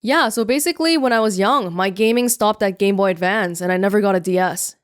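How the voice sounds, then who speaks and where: A woman's voice: medium pitch, brisk, smooth, no echo. A young woman from Canada answering viewer questions in a casual vlog, chatty and self-mocking, speaking close to the microphone. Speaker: YouTuber. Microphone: close studio mic.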